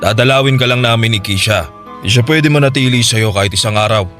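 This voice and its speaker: A man's voice: mid-range voice, brisk, a bit bright, soft background music, natural voice. A man playing a stern, distrustful father who speaks firmly and bluntly in a Tagalog radio drama. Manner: firm, scolding, blunt. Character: stern, distrustful father guarding his child.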